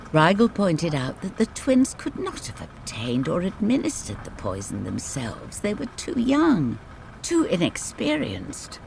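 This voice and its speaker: Animated, gravelly storyteller over falling rain. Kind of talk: scripted bedtime fairy tale narration. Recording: clear rain and rumble noise behind. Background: rain ambience.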